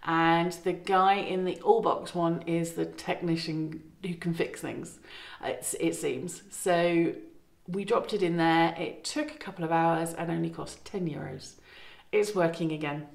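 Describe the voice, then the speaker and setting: A woman's voice: on the low side, measured pace, vocal fry, hardly any pauses. A middle-aged woman chatting casually to her phone camera inside an old farmhouse, rambling and correcting herself as she goes. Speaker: vlogger renovating a farmhouse. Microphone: phone camera at arm's length. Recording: roomy echo.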